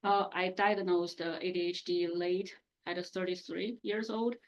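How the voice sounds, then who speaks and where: A woman's voice: on the low side, steady tone, a little slow, highs cut off. A woman in her thirties or forties, a product manager, introducing herself on a panel in a straightforward way.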